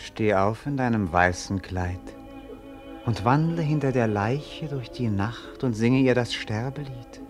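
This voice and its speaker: An actor playing a melancholy, romantic young prince in a classic radio play, declaiming lyrically and solemnly. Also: male voice, medium pitch, unhurried, over music, close to an everyday voice. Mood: tender, morbid.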